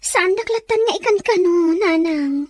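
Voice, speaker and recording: A woman's voice: very high voice, normal speed, no echo. A woman with an Ilocano Filipino accent playing a lovelorn, dutiful young provincial daughter in an audio drama, earnest and plaintive. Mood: downcast, reassuring. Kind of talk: audio comedy-drama acting.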